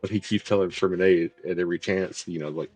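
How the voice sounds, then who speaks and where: A man's voice: low voice, steady tone, brisk, darkish tone. A young man streaming a game, chatting casually and rambling as he reacts to the story. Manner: rambling, laughs and mimics characters. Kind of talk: casual live game commentary.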